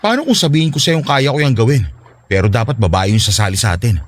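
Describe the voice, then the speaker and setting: A man's voice: medium pitch, fast, close to an everyday voice. An actor with a Filipino accent playing a devoted but reluctant husband, earnest as he talks with his wife in a radio drama. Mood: reluctant, uneasy. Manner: protesting, earnest.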